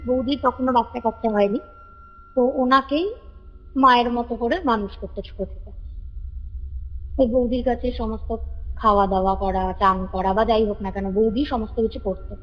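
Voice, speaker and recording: A woman's voice: on the high side, steady tone, normal speed, pauses often, fairly bright tone. A woman casually telling a story as a caller, in a conversational way, circling back to repeat phrases. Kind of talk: casual spoken storytelling of a family ghost story. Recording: reverberant call recording with music behind. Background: background music.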